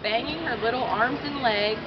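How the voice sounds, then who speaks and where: A woman with a fairly high voice declaims a story at an outdoor read-in. She delivers it in short phrases, pausing after each one. The recording is dull and muffled, with a loud crowd around her. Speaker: reader at a public read-in. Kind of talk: reading a novel aloud in short phrases for a crowd to repeat. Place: outdoors at a public campus gathering. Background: loud crowd, urban outdoor noise.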